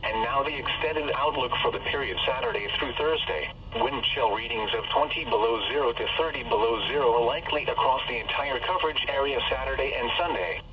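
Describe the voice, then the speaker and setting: A dull, lo-fi radio recording with a faint hum carries an American man's voice, set back from the microphone. He reads a scripted weather bulletin formally and methodically, in a measured synthetic delivery at a medium pitch. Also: distant mic, crowd noise. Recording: muffled, noisy weather radio broadcast with hum.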